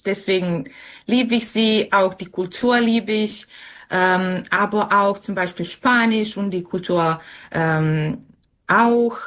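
Slow-speaking woman. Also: fairly low pitch, fairly bright tone. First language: Serbian. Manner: hesitant, searching for words. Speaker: interview guest. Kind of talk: conversational interview answers.

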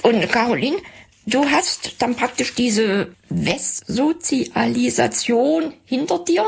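A mature woman with a very husky, crackling voice asks questions conversationally. Her pitch sits a little high and moves in a lively way. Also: slow. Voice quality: husky, gravelly.

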